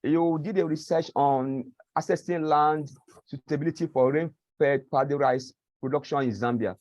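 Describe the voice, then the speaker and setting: A man's voice: a little high, steady tone, normal speed, a little mellow, no echo. Man with muffled video-call sound. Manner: earnest, leans heavily on the filler "you know". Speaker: award-winning researcher and webinar panelist. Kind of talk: formal answer on a video call. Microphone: video call microphone. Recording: slightly dull, lo-fi call audio.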